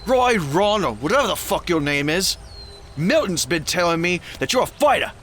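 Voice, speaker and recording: A man acts a brash, hard-drinking prizefighter with a short temper. His pitch swings wildly as he swaggers and talks matey in an audio drama. The recording has background noise. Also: very animated, normal speed, fairly bright tone. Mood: angry, taunting. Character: cocky, hot-tempered, hard-drinking male champion fighter.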